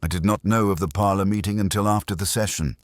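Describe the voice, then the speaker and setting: A man's voice: on the low side, steady tone, quick pace, no room sound, voice pushed up, lightly acted. A man playing a defensive, methodical doctor in an audiobook mystery, giving clipped, factual statements.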